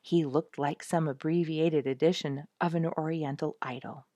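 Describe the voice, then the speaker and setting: A woman narrating a children's story in an expressive, animated storytelling style, acting out the key moments. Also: sits low, moderate pace, no room sound, highs cut off. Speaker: audiobook narrator.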